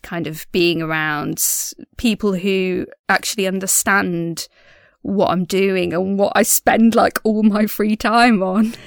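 A woman talks through a casual audio diary in a medium-pitched voice. She is chatty and self-effacing, feeling her way through her thoughts a little hesitantly. The sound is dry and close, with no room around it. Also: fairly even intonation, slow, clear, resonant tone, no echo. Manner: giggles often while talking. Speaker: podcast diarist and audio drama creator.

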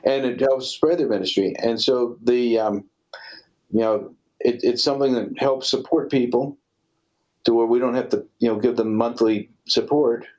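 Male radio guest, mid-range voice, unscripted, halting and rambling delivery. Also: mid-range voice, fairly even intonation, a little mellow, poor audio. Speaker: ministry director, radio show guest. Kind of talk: unscripted interview talk.

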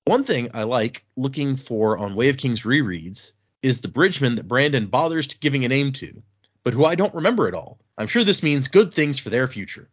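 A man hosting a podcast, chatting casually and reading listener emails aloud in a relaxed, halting way. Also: medium pitch, slightly rough, muffled recording. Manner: relaxed, halting, with restarts and fillers. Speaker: podcast host.

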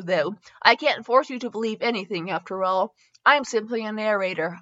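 A woman's voice: mid-range voice, fairly bright tone, dull audio, pitched below the performer's own voice, natural voice. A woman reading dramatic fan fiction as a lovestruck young male writer, earnest and wistful.

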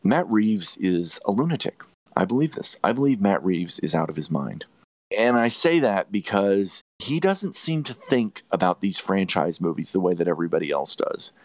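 Deep-voiced man giving emphatic film opinions. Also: sing-song, moderate pace, no room sound. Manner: emphatic, repeats phrases for stress. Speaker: podcast host reviewing films. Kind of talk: opinionated movie review chat.

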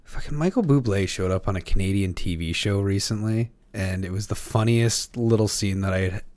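A man in casual podcast chat, telling a story in a halting, profane way. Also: fairly low pitch, a little mellow.

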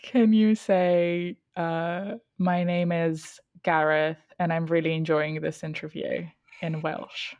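A woman's voice: lowish voice, little pitch movement, slow, darkish tone, no room sound, dull audio. A woman hosting an interview podcast. She is friendly, asks follow-up questions and laughs often. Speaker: podcast host.

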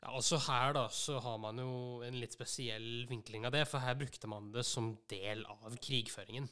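A man with a smooth, clean voice at a middle pitch co-hosts a casual podcast. His phrasing is halting, with thoughts assembled as he goes. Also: fairly even intonation, sharp tone. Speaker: podcast co-host.

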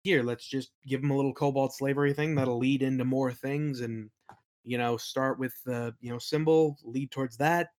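A man's voice: medium pitch, fairly even intonation, normal speed, a little mellow. A man in a casual interview, talking informally as a game master and telling anecdotes. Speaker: podcast guest, game master and worldbuilder.

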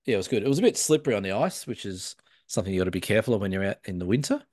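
A man's voice: fairly low pitch, lively intonation, rapid-fire, darkish tone, no echo, clean studio sound. A man with an Australian accent, co-hosting a casual photography podcast, easygoing and joking. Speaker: podcast co-host. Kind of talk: casual chat.

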